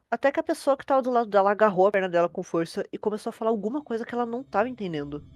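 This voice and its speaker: A woman's voice: mid-range voice, a little mellow, dry recording. A woman with a Brazilian accent narrating a horror story in an informal storytelling style.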